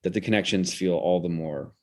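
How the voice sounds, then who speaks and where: A man with a low voice answers in an interview. He is articulate and analytical, building his explanation in measured phrases. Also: darkish tone. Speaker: guest, theater director.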